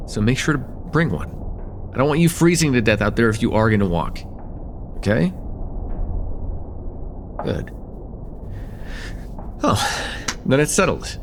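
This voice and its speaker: A man playing an affectionate, teasing young chemistry student in a casual, intimate one-sided roleplay, talking with hesitant, soft-hearted banter. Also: medium pitch, sing-song, measured pace, pauses often, slight background noise. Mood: easygoing, caring. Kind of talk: slice-of-life ASMR roleplay, intimate one-sided dialogue.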